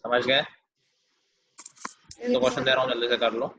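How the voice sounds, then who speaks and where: A man's voice: mid-range voice, steady tone, normal speed, bright, forceful tone, dull audio, slight background noise. Man teaching math over a video call. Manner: firm, teasing with students. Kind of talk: online class teaching.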